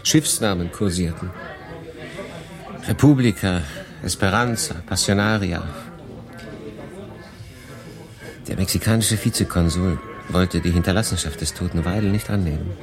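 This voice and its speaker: Deep-voiced, world-weary refugee. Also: male voice, music in the background. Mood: wry, recounting. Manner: conversational, deliberate pauses, shifting between emphatic and hushed. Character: world-weary refugee man in 1940 France, confiding his story. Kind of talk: dramatic first-person monologue, literary audio drama.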